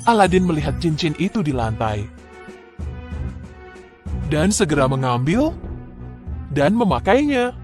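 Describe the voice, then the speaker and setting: A man narrating a scripted children's fairy tale in an expressive, dramatic way. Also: fairly high pitch, unhurried, long pauses. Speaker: storyteller. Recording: music behind the voice.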